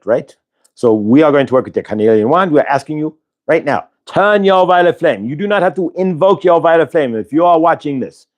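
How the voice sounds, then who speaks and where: A man voicing a benevolent otherworldly spirit collective in an affected, vaguely Indian-sounding accent, with drawn-out emphatic words, as in a live channeled healing session. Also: medium pitch, darkish tone, lightly acted. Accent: affected, vaguely Indian-sounding put-on accent.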